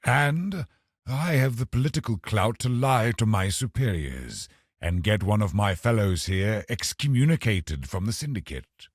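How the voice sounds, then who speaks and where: An actor playing a scheming, pious villain in a video game, a cold religious officer who speaks in a measured, haughty, husky voice. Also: male voice, fairly low pitch, lively intonation, no echo, clean studio sound. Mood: scheming, bargaining. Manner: measured, haughty, calculating, formal. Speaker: game boss character. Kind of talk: dramatic video game voice acting.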